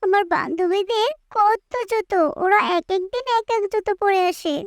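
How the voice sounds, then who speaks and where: Very high-voiced, earnest little village girl. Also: moderate pace, no echo, studio quality. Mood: upset, complaining. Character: earnest, sensitive little village girl, devoted daughter and sister. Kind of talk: cartoon character acting.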